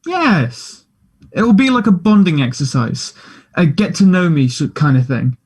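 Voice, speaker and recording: Man playing a higher-voiced, earnest teenage boy. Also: big pitch swings, measured pace, darkish tone, husky, exaggerated voice. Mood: playful, teasing. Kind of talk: play table read, naturalistic dialogue.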